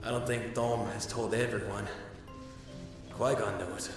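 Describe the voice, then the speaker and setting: A man playing a brooding, troubled young Jedi in a comic dub, speaking in a halting way. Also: lowish voice, fairly even intonation, distant mic, close to an everyday voice. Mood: haunted, confessional. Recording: cavernous reverb, music underneath.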